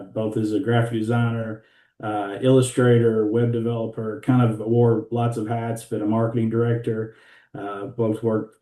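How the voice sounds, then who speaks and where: A middle-aged man giving a laid-back introduction over a video call, pausing often with "uh" and letting his words trail off. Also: mid-range voice. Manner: laid-back, many "uh" pauses, trails off softly. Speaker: guest designer. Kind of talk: casual self-introduction. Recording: roomy, distant from the mic.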